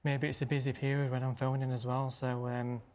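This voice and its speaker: A man who stammers, talking casually in a halting way with blocks and repeated words. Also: mid-range voice, level tone, darkish tone. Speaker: interview guest, social media influencer. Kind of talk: casual personal talk. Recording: clear background noise.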